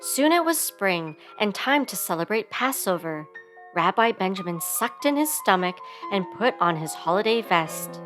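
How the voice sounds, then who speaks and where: A woman's voice: medium pitch, very animated, moderate pace, a bit bright. A woman reading a children's story aloud expressively, giving sound words theatrical emphasis, with instrumental music behind her. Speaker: storybook reader.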